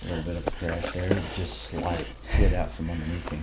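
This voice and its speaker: Deep-voiced man in his sixties, rambling. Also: a little fast, heavy background noise. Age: 60s. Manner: relaxed, rambling, with many fillers. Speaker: mountain bike vlogger. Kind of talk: casual voice-over commentary on ride footage.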